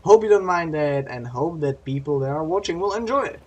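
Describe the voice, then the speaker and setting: A man's voice: on the high side, lively intonation, a little fast, darkish tone. A young man with as a commenting casually on a game replay, rambling and often correcting himself. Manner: chatty, rambling, self-correcting.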